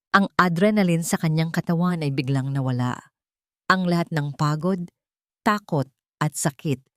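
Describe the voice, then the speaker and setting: A woman's voice: on the low side, a little mellow, no room sound, clean studio sound. A woman narrating a scripted romance story in a dramatic storytelling style.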